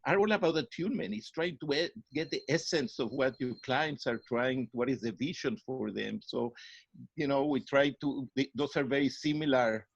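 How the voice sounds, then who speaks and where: Middle-aged Mexican-accented male guest, earnest, halting delivery; dry, lo-fi recording. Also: medium pitch, vocal fry, no echo. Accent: Mexican Spanish. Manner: earnest, searching for words, repeats himself. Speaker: psychologist guest. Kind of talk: interview answers. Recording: dry, dull lo-fi sound.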